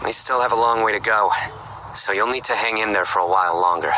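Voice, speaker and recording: Man acting a deep, stern, stoic young investigator. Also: background noise, natural voice. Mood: calm, stoic. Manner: measured, serious, deliberate delivery. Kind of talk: video game voice acting, English dub.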